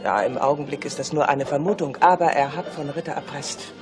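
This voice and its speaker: A woman's voice: low voice, a little fast, music in the background, natural voice. An actress in a German radio drama playing a shrewd, self-assured policewoman who teases her boss. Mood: matter-of-fact, assured. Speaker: police officer.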